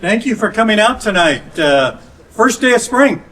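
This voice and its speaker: A man speaking as a city council member and welcoming people to a neighborhood meeting in a church hall. His voice is slightly high, and he talks loosely, with fillers and restarts. He is picked up from a distance, with faint room noise behind him. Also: lively intonation, normal speed, bright, forceful tone. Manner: casual, with many fillers and restarts. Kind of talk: welcoming remarks at a community meeting.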